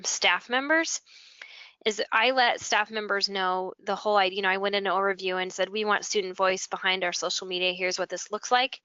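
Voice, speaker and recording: A woman's voice: mid-range voice, bright, forceful tone, clean tone, no room sound, muffled recording. An American woman explaining things conversationally, walking through examples like a guest presenting in a webinar. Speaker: school technology integration specialist, webinar guest. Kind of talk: conversational software walkthrough.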